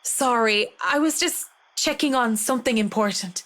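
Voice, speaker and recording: A woman's voice: on the high side, normal speed, a bit bright, natural voice. A woman voicing a wry, curious young heroine. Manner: casual, wry, slightly hesitant. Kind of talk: voiced video game dialogue.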